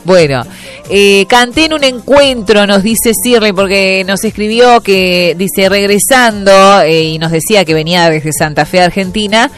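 A woman's voice: medium pitch, measured pace, faint music behind. A Uruguayan woman hosting a local radio show, chatting casually and reading listener messages with lots of fillers. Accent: Uruguayan Spanish. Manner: chatty, many fillers and restarts. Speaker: radio host.

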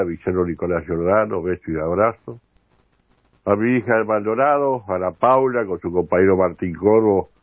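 An older man with a Uruguayan accent hosting a radio interview programme, with a halting delivery that often repeats words. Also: low-pitched. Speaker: radio host. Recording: tinny phone-like radio sound, roomy echo.

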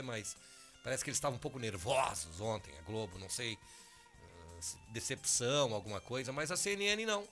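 A hoarse man, hosting a morning radio show in easygoing chat at a studio microphone. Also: medium pitch, pushed and bright. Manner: chatty, easygoing, talks over cohost.

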